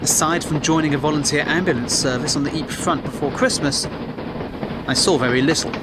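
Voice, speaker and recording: A man plays an urbane English gentleman reporter of the 1910s in an audio drama. His voice is fairly high, genteel and clipped, and quietly persistent. Loud vehicle rumble surrounds every word. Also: brisk, lightly acted. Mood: earnest. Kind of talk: period audio drama acting. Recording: loud vehicle and battlefield ambience.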